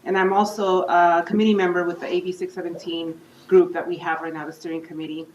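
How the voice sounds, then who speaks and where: A woman's voice: lowish voice, flat delivery, fast, a little hiss or hum. A woman community organizer giving an urgent, earnest public comment at a meeting. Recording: roomy, distant, dull hall mic.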